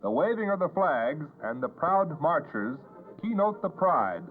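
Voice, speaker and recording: A man's voice: a little high, very animated, a little slow. An American man reading scripted narration as the announcer of a 1950s newsreel. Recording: tinny 1950s newsreel soundtrack, music behind. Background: music.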